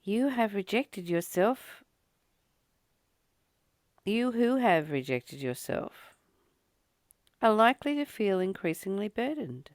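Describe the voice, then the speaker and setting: A woman hosting a spiritual study, reading a text aloud and commenting on it in deliberate, measured phrases. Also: mid-range voice, big pitch swings, no echo, clean studio sound. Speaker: host of daily spiritual readings.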